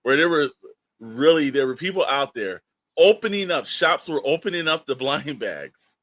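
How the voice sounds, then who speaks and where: A man's voice: on the high side, lively intonation, moderate pace, a bit bright, no echo. A man hosting a casual podcast, giving opinions in a rambling, emphatic, exasperated way with storytelling and quoted asides. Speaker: podcast host.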